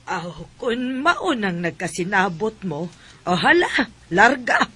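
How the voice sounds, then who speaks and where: An actress playing a blunt, protective middle-aged mother in a radio drama, husky, with a Cebuano Filipino accent. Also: mid-range voice, very animated, slow. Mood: accepting, firm.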